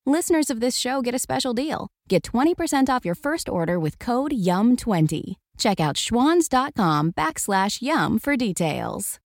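A woman delivers a scripted ad in a conversational style. Her voice sits slightly high and swings widely in pitch. The sound is dry and close, like a studio. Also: sing-song, moderate pace, few pauses, no echo. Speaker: advertisement announcer.

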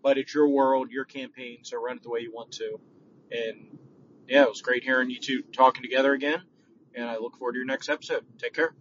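A man's voice: medium pitch, fairly even intonation, fairly quick, fairly bright tone. Distant, muffled man leaving a casual voicemail. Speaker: podcast listener calling in. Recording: dull, noisy, distant voicemail recording.

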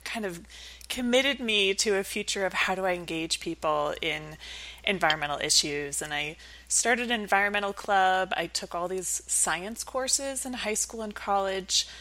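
A woman's voice: medium pitch, moderate pace, sharp tone, rough recording. A woman speaking as an academic guest in an interview, explaining things in a reflective, conversational way. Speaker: academic researcher as podcast guest.

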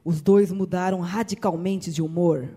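A narrator reading a radio drama in a measured storytelling manner. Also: mid-range voice, fairly even intonation, warm tone, breathy edge.

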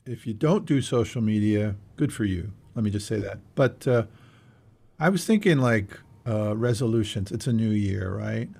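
A man's voice: on the low side, animated, normal speed, creaky, mellow. A man hosting a podcast, chatting casually with his co-hosts. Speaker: podcast host.

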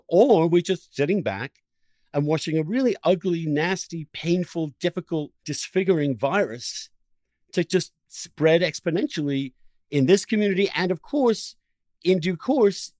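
A man's voice: a little high, expressive, moderate pace, darkish tone, no echo. Emphatic male interviewer, dry close sound. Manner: emphatic, piling up adjectives and repeating words for stress. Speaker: podcast host interviewing a guest. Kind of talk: impassioned conversational interview.

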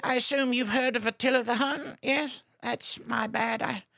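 A woman's voice: fairly high pitch, steady tone, normal speed, vocal fry, fairly bright tone, no echo. A woman acting a mysterious, reclusive witch in an audio drama, with solemn, formal, dry delivery. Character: mysterious, reclusive witch, guardian of magic stones.

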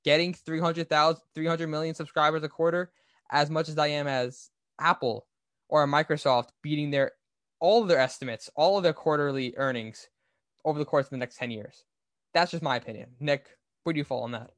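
Male business podcast host, slightly high register, explanatory and opinionated, very dry recording. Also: brisk, fairly bright tone, no room sound. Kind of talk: conversational business commentary.

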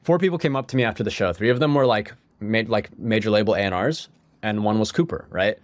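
A man's voice: on the low side, fast, no room sound. A young man, a musician guest on a podcast, telling his story in a rambling casual way full of "like" and restarts, a bit sniffly from a cold. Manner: rambling, heavy use of "like", frequent restarts.

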